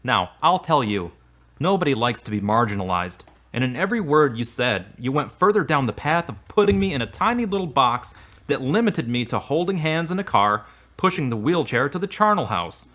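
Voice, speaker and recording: Male lead for audio drama: earnest, devout boyfriend, easily hurt, widely swinging mid-range pitch acted by a man. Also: big pitch swings, normal speed, bright, forceful tone. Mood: hurt, indignant.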